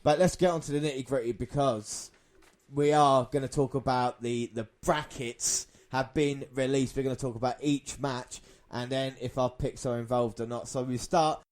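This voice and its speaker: A man's voice: mid-range voice, steady tone, normal speed. Male podcast co-host with mid-range voice. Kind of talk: casual sports podcast chat.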